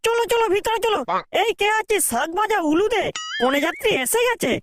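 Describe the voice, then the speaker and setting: A woman's voice: extremely high pitch, animated, a little fast, background noise. A woman voicing a hot-tempered, bossy young village guy in a comedy cartoon, with crude, slangy, confrontational delivery. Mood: aggressive. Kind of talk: exaggerated comedy cartoon dialogue. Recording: loud background music. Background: loud music.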